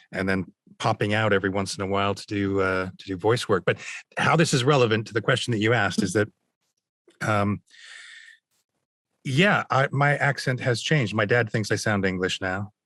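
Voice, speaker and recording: Low-voiced man with mid-Atlantic accent. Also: fairly bright tone, dry recording, clean studio sound. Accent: mid-Atlantic American. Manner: rambling storyteller, many fillers and restarts. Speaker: voice actor guest. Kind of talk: casual podcast chat.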